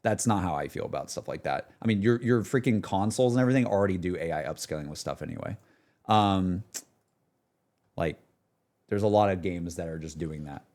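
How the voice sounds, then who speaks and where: A man's voice: low voice, animated, fairly quick, a little mellow. A man livestreaming in a casual, slangy, repetitive way, talking to his chat and reacting off the cuff. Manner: slangy, repetitive, full of "like", "bro" and "dude". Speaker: streamer.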